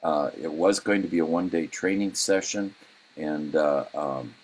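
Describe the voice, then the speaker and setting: A man, the club president, reading out weekly announcements at a meeting, halting often with ums and uhs. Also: extremely low pitch, breathy edge.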